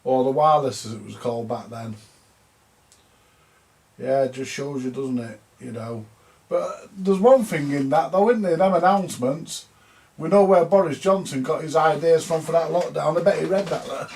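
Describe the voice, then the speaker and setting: Bloke rambling casually, bit higher pitched, lots of creak in the voice. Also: male voice, normal speed, a little mellow. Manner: colloquial, chatty, tag questions. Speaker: vintage radio repairer, video host. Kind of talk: casual rambling vlog chat.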